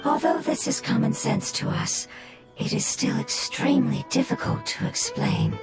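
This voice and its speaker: A woman voicing an ancient, calm plant spirit that has taken the form of a fungus in a game, explaining things patiently and steadily. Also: high voice, moderate pace, loud music behind the voice, close to an everyday voice.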